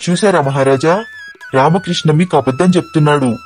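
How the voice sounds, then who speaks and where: A man playing a shrewd, suspicious royal prime minister in an animated moral story, probing and accusing. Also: on the high side, brisk, faint music behind, natural voice. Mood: accusing, surprised.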